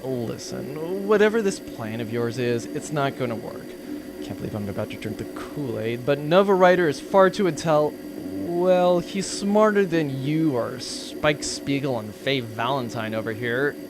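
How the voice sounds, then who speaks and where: An actor playing a sarcastic, unimpressed pirate accountant with a deadpan, rambling delivery in a comedic audio drama. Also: male voice, medium pitch, a little slow, noisy background. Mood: dismissive.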